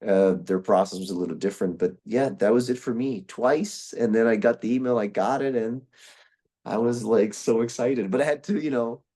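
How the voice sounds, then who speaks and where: A man's voice: mid-range voice, moderate pace, mellow. A young man from Brazil, chatting as a guest voice actor in a casual interview, often restarting his sentences. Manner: rambling, with many restarts and fillers.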